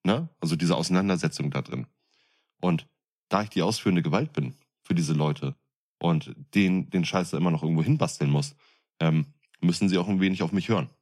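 A man's voice: low voice, a little fast, darkish tone. A man chats as a podcast co-host. He is blunt and opinionated, and he often restarts or repeats words in casual conversation. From northern Germany. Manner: blunt, opinionated, stumbling repetitions.

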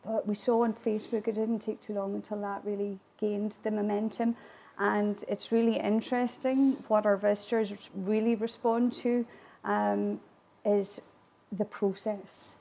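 Woman, Gaelic-first speaker, talkative interview guest with a gravelly edge. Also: medium pitch, little pitch movement, measured pace. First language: Irish Gaelic. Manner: chatty, warm laughs, frequent fillers and restarts. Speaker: guest speaker, distillery attraction manager. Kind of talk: conversational interview answers.

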